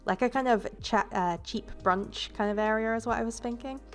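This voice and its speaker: A woman's voice: fairly high pitch, moderate pace, faint music behind. Stuffy-voiced woman, casual voice-over. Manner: casual and rambling, with fillers and self-corrections. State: stuffy from a cold. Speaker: game builder and YouTuber. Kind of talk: relaxed voice-over commentary on a building video.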